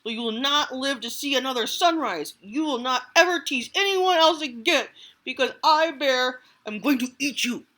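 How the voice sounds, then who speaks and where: A woman's voice: high voice, lively intonation, bright, forceful tone, voice pushed up. A woman doing a grumpy growling bear. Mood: menacing. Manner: growling, slow and threatening. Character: big grumpy male bear, menacing.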